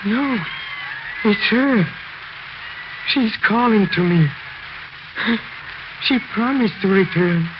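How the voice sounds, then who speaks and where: An actor playing a grief-crazed, obsessive widower in an intense, insistent 1940s radio horror style. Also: male voice, sits high, expressive, takes it slowly, long pauses. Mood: frantic insistence. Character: grief-crazed, obsessive widower awaiting his dead wife. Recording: noisy, muffled 1940s radio recording.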